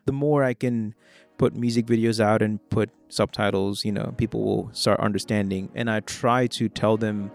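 A man's voice: on the low side, moderate pace, vocal fry, soft background music. A young man from India, a rapper and producer whose first language is Hindi, speaking in a casual interview with a mixed Indian English accent and lots of "you know" and "like". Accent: Indian English with British and American traces. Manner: relaxed and conversational, fillers like "you know" and "like". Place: Oklahoma.

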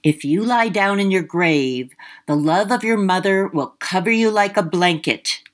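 A woman voicing a gentle, kindly ghost boy who guides the dead, speaking softly and tenderly. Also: medium pitch, expressive, a little slow, pitched above the performer's own voice, natural voice. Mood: soothing. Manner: soft, tender, soothing.

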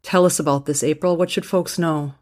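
Friendly Minnesotan woman, lowish voice. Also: steady tone, brisk, a little mellow. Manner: friendly, leads the segments and reads out the details. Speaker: podcast co-host. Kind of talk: podcast chat.

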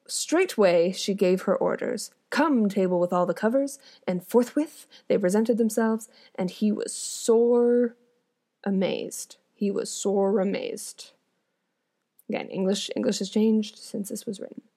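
A woman actor and comedian reads an old fairy tale and keeps stopping to make amused, wry comments. Her voice sits in a middle pitch, and her tone is chatty and casual between the storybook passages. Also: slow, mellow. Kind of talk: storytelling reading with joking asides.

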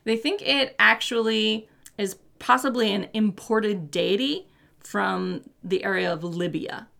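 Woman co-host, casual explainer, fillers and hedges, gravelly creak. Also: medium pitch, unhurried, fairly bright tone. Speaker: podcast co-host. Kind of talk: casual chat about mythology.

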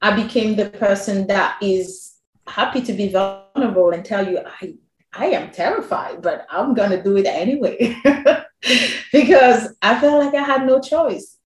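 A woman with a non-native English accent, a working mother telling her own story as a podcast guest, animated and quick to laugh. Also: mid-range voice, roomy sound, rough recording. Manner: animated, laughs often, quotes herself. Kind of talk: candid personal storytelling.

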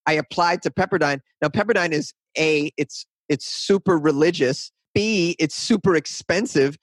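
A man's voice: a little high, normal speed, no echo. Chatty self-deprecating man, dry sound. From north of Boston, USA. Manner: rambling, self-deprecating, laughs often. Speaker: guest. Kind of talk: casual interview chat.

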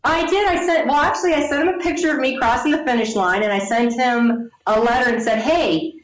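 A woman in her forties telling her story to an interviewer, animated, laughing often and acting out the people she quotes. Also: sits high, a little fast. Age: 40s. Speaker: guest. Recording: echoey room.

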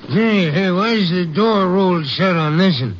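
An actor playing a gruff, blunt, dutiful railroad detective who gives orders in folksy slang in a 1950s western radio drama. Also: male voice, sits high, expressive, unhurried. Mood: gruff, insistent. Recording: dull, noisy old radio recording.

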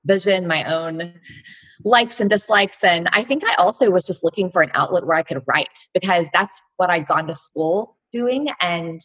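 A woman in her thirties from Texas speaks low and a touch husky. She tells her story reflectively and at ease, with easy fillers and pauses as she thinks. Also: a bit bright, rough recording. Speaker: guest, blogger and entrepreneur. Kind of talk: conversational interview.

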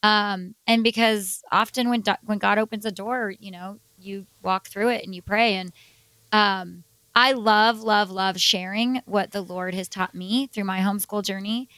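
A woman's voice: mid-range voice, steady tone, measured pace, a bit bright, dry recording, poor audio. A woman co-hosting a podcast in casual, bantering chat, playful and earnest. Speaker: returning podcast co-host.

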